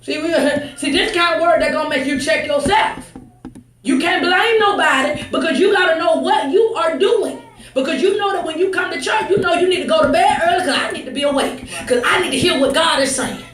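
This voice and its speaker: A woman preaches in a high voice, picked up from a distance in an echoey room. Her delivery is exhortatory and emphatic, moving between pointed conversational asides and forceful, raised declarations. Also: a little fast. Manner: exhortatory, emphatic, swings from hushed asides to shouts. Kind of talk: fervent sermon with scripture reading and conversational asides. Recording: echoey room, distant mic, faint noise.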